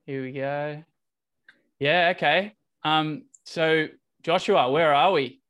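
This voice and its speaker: A man with a slightly high voice leads an online group session over a video call. He keeps things casual and easygoing, often restarting his sentences as he coordinates. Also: slow, a bit bright, clear, resonant tone. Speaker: workshop facilitator.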